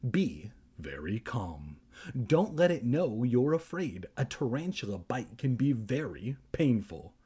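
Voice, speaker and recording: A man voicing a smug, creepy boy in a storybook reading, giving slow, hushed, deliberate warnings. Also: mid-range voice, expressive, breathy edge.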